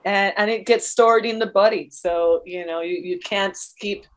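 A woman's voice: mid-range voice, steady tone, measured pace, poor audio. A woman speaking as an interview guest, explaining her ideas in a reflective, earnest, conversational way. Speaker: interview guest, dance choreographer.

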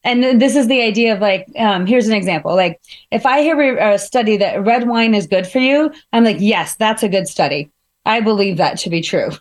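A woman with a slightly harsh voice, talking through feelings and examples in a relaxed, reflective conversational way, with frequent fillers. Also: mid-range voice, brisk. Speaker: guest speaker. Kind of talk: casual conversational advice.

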